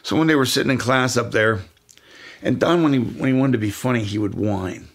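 Gravelly older man telling police stories. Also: mid-range voice, fairly quick. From Pennsylvania, USA. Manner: animated, acts out quoted voices. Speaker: retired police officer, guest. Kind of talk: storytelling in casual conversation.

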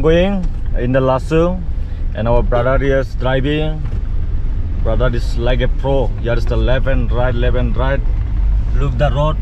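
A young man from Sikkim vlogging his travels in a playful, exclaiming way, in broken English mixed with Nepali words, recorded on an action camera in a car and a hotel room. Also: fairly high pitch. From Sikkim, India. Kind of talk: excited travel vlog. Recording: noisy outdoor action-cam recording.

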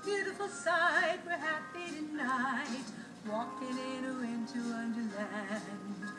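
High soprano singing in a roomy theatre. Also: female voice, slow pace. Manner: operatic, sustained singing voice. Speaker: soprano singer. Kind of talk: classical concert singing of carols. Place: large theatre stage. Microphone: far camera in the audience. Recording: roomy theatre sound filmed from the audience. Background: music accompaniment.

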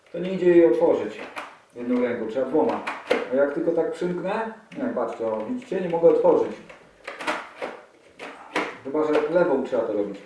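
A man in his forties talking casually and enthusiastically as he unboxes motorcycle gear, thinking aloud while he handles it. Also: on the high side. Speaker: motorcycle vlogger. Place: small indoor room, seated at a table. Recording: echoey room, distant camera mic, audible handling noise.

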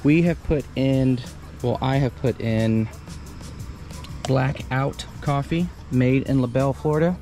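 A man in his thirties giving a relaxed, chatty step-by-step demonstration outdoors at a campsite under a canopy tent. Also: medium pitch, unhurried. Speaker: vlogger. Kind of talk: casual how-to demo. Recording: background music under voice. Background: music.

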